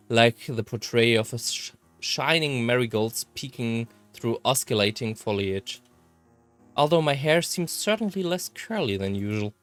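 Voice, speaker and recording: A man reads a visual novel aloud at a very slow pace. His delivery is halting and slightly theatrical, with a medium pitch. Faint music plays behind him. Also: fairly bright tone. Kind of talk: expressive read-aloud narration of a visual novel.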